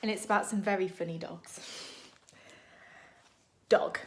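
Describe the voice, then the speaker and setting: A woman reading a picture book aloud to young children in an expressive, deliberate storytime style. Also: mid-range voice, slight background noise. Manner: expressive, animated, deliberate phrasing for small children.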